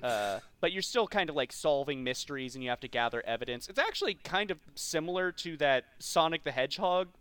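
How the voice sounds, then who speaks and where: A man's voice: fairly high pitch, expressive, fairly quick, hardly any pauses, a bit bright, slight background noise. A man co-hosting a podcast, talking casually and explaining things in a conversational way. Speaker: podcast co-host. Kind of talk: casual film discussion.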